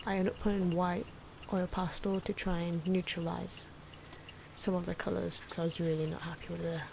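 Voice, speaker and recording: Low-pitched soft-spoken woman, noisy recording. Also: flat delivery, brisk, creaky. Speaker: artist narrating own drawing video. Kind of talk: soft-spoken ASMR drawing commentary. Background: pencil and marker drawing noises.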